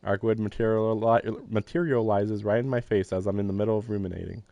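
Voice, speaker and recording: Low-voiced man streaming a game. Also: steady tone, fairly quick, gravelly, dark tone, no room sound, dull audio. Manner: thinks aloud, wry, self-deprecating asides. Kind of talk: casual let's-play commentary and reading. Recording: live stream with faint game music.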